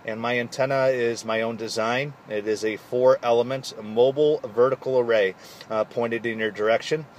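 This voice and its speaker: A man's voice: medium pitch, fairly even intonation, moderate pace, a little hiss or hum. Man calling from a mobile radio. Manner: friendly, clear call signs, technical. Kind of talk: ham radio contact. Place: in a vehicle. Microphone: handheld radio microphone.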